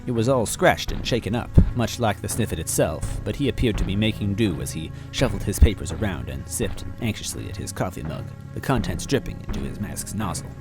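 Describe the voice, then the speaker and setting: A man narrating a story in first person in a wry, measured way. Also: on the low side, lively intonation, over music, low-quality recording. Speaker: story reader.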